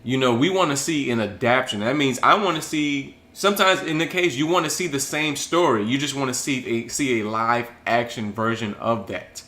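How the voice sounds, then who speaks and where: A man's voice: mid-range voice, normal speed, hardly any pauses, sharp tone. Earnest young man, lots of ums. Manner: earnest, full of fillers like um. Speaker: co-host. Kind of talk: casual group chat.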